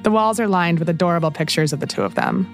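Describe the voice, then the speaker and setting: Low-voiced woman narrating a podcast. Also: fast talker, faint music behind. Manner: calm storytelling narration. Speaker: podcast host. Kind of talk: scripted narration.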